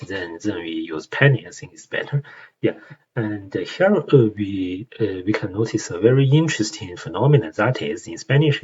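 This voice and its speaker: Young Chinese-accented man, lowish voice, casual explainer full of fillers; dull lo-fi mic with faint hiss. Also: a little mellow. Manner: hesitant, many fillers and rhetorical "right?" checks. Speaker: language learner explaining song lyrics.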